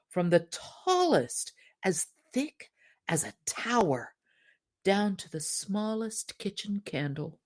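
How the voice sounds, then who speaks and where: Slow, lowish-voiced woman storyteller. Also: a little mellow. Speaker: storyteller, podcast reader. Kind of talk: expressive fairy-tale narration.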